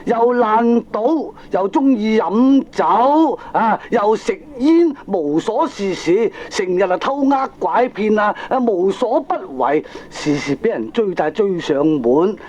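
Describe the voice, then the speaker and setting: A man's voice: sits high, takes it slowly, few pauses. An actor with a Hong Kong accent playing a rueful, middle-aged good-for-nothing father in an exclamatory radio drama style. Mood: rueful, self-mocking. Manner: animated, exclamatory. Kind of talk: Cantonese radio drama acting. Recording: dull old cassette radio drama recording.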